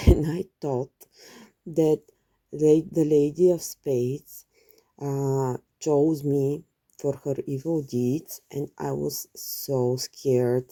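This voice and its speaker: Woman from bulgaria chatting while she gardens, low mellow voice, lots of ums and little laughs. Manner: chatty, with fillers and small laughs. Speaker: vlogger. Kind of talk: casual storytelling while gardening. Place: garden, outdoors.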